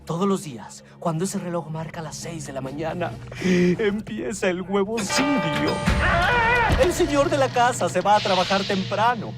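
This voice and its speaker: An actor voicing a chatty, excitable egg who tells things comically in an animated film. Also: male voice, high voice, normal speed. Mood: dramatic explaining. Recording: loud film music behind.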